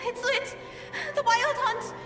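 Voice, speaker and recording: Very high, echoing alarmed ghost soldier. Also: female voice, fairly even intonation, slow pace. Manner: alarmed, crying out. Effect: ghostly reverb. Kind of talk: game voice acting. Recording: long echoing reverb over music.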